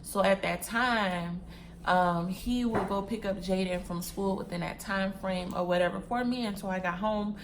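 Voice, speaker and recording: Young woman in her twenties, chatty vlogger, repeats herself, mid pitch, faint room noise. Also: moderate pace. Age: 20s. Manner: chatty, repeats phrases, addresses viewers as y'all and sis; packing wigs while talking. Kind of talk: casual chat to viewers.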